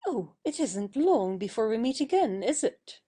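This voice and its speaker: A high-voiced, slightly husky young stage darling played by a woman in a period satirical audio play. She speaks unhurriedly and theatrically, gushing with lively swoops. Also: moderate pace. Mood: coy. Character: Lily, popular gushing young stage beauty.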